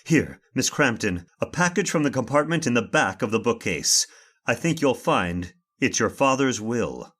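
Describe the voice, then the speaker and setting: Resolute master of the hunt, male actor: mid-pitched, lively intonation, commanding, vintage radio drama. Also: normal speed. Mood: firm, commanding. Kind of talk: old-time radio drama acting.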